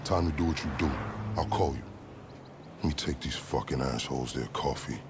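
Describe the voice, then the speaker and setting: A man's voice: rumbling low, moderate pace, music in the background. An actor playing a sly, eloquent corrupt police officer in a video game cutscene, smug and formal in his phrasing, with profanity. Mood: scheming, wry.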